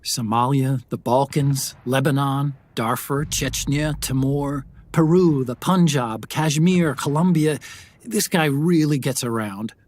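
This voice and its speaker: A man playing an earnest, analytical adviser giving a briefing in a video game, articulate and measured. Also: mid-range voice, a little mellow. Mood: informative. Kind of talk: video game cutscene acting.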